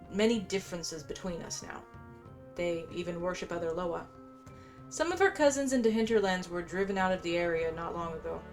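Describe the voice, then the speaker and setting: A woman doing casual gameplay commentary, chatty and musing as she plays and reads quest text aloud. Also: fairly low pitch. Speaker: gameplay streamer. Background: faint game music.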